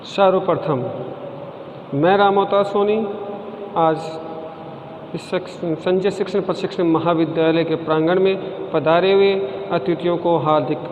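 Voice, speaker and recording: A man's voice: high voice, fairly even intonation, unhurried, long pauses, darkish tone. A man giving a formal stage speech at a college function, declaiming and chanting poem refrains with rising emphasis. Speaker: speaker at a college Hindi Day function. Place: event at a college courtyard. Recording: echoey, dull lo-fi sound with long reverb and faint hiss.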